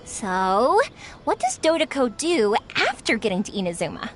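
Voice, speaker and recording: A woman's voice: a little high, big pitch swings, normal speed, music in the background. A woman voicing a bubbly, kind big-sisterly young woman in a video game, chirpy and encouraging. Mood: upbeat. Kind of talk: animated game voice acting.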